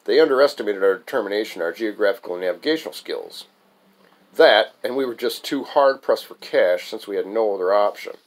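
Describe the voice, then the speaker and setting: A man with a fairly low voice recites a piece of his own autobiographical prose. He reads as the author, in a scripted storytelling style, now and then tripping over a word and picking it back up. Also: expressive, brisk. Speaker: author reading own writing aloud. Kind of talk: scripted first-person narrative reading, recitation.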